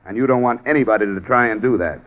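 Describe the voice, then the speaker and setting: An actor playing a tough, persistent American insurance investigator, clipped and matter-of-fact, in an old radio detective drama. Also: male voice, medium pitch, fast talker, lightly acted. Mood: firm, challenging. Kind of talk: old-time radio detective acting and narration. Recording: dull vintage radio recording, background music.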